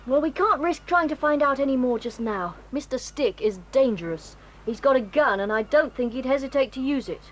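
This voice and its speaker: A woman's voice: high-pitched, lively intonation, brisk, a little hiss or hum. A woman voicing a brave, decisive boy leader in a 1980s audio drama. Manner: firm, decisive.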